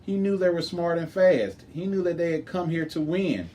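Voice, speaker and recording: A man's voice: on the high side, expressive, moderate pace, mellow. A man reading a children's chapter book aloud as scripted narration. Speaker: storybook reader.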